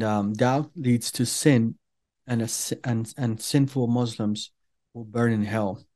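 A middle-aged man from Iran, a native Farsi speaker, giving an earnest personal testimony as an interview guest, speaking deliberately with many pauses and fillers. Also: mid-range voice, darkish tone.

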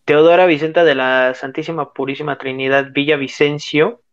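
A man's voice: fairly high pitch, normal speed. Mexican guy cohost, easygoing slangy talk, kinda dull lo-fi mic. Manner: relaxed, slangy, agreeable. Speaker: podcast co-host. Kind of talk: casual chat about childhood cartoons.

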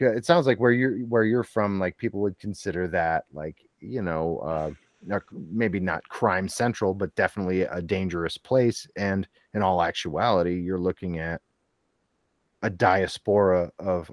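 Man, very low voice, measured and thoughtful, podcast host, dry recording. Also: no echo. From Pittston, Pennsylvania. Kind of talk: casual podcast chat.

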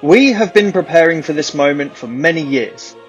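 A man's voice: a little high, animated, normal speed, lo-fi sound, soft background music, close to an everyday voice. A man playing a solemn, declamatory revolutionary propagandist giving a radio address. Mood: grave, commanding. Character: zealous male revolutionary propagandist broadcasting liberation orders.

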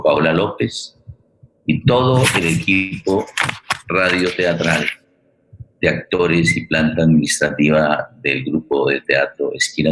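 A man with a Colombian accent hosting an unscripted interview, halting and repeating words as he searches for them. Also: sits low, a bit bright, poor audio. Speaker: host of radio theatre forum.

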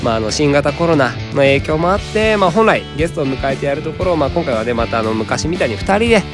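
Lively, fast-talking male radio MC. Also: fairly high pitch, music in the background. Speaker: radio co-host and MC. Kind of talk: casual radio banter.

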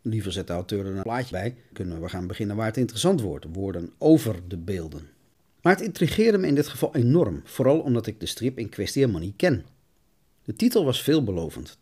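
A man hosts a podcast in an essayistic narrating style. His voice sits low and has a husky, slightly rough grain. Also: darkish tone. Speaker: podcast host.

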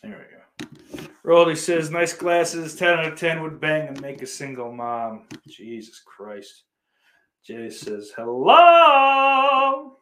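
A man's voice: on the high side, very animated, takes it slowly, sharp tone. A man livestreaming in casual chat, rambling and swearing freely while reading out messages from his viewers. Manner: profane, rambling, self-deprecating. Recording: roomy echo.

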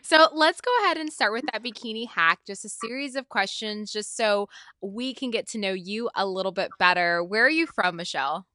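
A woman's voice: medium pitch, a little fast, bright, forceful tone, dry recording, clean studio sound. A woman hosting a podcast, presenting and interviewing. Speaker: podcast host.

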